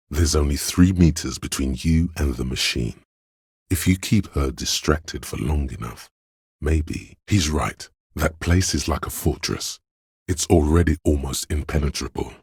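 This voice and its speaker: A man voicing a detective's analytical inner voice in a video game, narrating in a deliberate, precise and literary way. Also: a little mellow, no echo, clean studio sound, heavily acted. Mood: analytical, observant. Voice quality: very deep, gravelly, husky. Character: inner voice of a detective's spatial reasoning, measured and analytical. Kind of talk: scripted game narration in second person.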